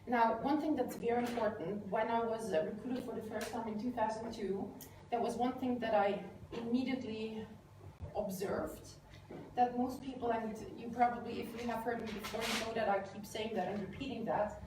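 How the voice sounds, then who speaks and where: A woman's voice: on the high side, fairly even intonation, normal speed. Woman career coach from Austria, middle-aged, lecturing casually in a big echoey room. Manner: conversational, frequent fillers, rephrases herself. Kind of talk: live lecture with audience questions. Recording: echoey room, distant mic, background hiss.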